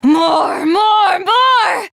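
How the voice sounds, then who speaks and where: A woman's voice: very high voice, animated, very slow, gravelly, fairly bright tone, no room sound, shouting, studio quality, heavily acted. A woman playing a cruel, megalomaniac villainess in a video game, grandiose and theatrical, with a sadistic streak and a hunger to be God. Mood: manic, ravenous.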